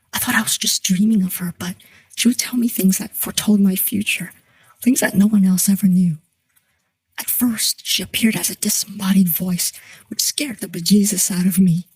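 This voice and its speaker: A woman in her thirties playing an earnest, troubled client who recounts her haunting in a measured, scripted dramatic monologue. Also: medium pitch, breathy edge, no echo, poor audio. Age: 30s. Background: soft music.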